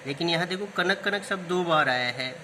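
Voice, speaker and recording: Male teacher, patient and repetitive, fairly high voice, air conditioner noise in the room. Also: fairly quick, bright, forceful tone. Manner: explains step by step, repeats key terms. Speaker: Hindi literature teacher. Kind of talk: classroom-style explanation of word meanings.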